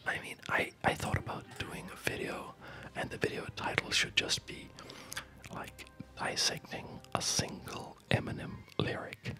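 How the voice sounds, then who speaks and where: A man speaking in a breathy ASMR whisper, explaining things casually in a halting, digressive way. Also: sharp tone. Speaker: ASMR video host.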